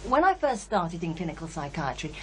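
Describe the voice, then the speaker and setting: An actress playing a candid, sceptical psychiatrist in a radio drama, with a hesitant, thoughtful way of talking. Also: female voice, lowish voice, sing-song, a little fast, darkish tone, slight background noise. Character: brisk, candid female psychiatrist, sceptical yet unsettled. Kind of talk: naturalistic radio drama dialogue.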